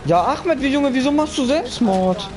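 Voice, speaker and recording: A man's voice: very high-pitched, expressive, moderate pace. A young man hosting a game stream, chatting casually with friends in slangy, sweary language. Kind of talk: casual gaming chat with friends. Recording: game music behind the voice.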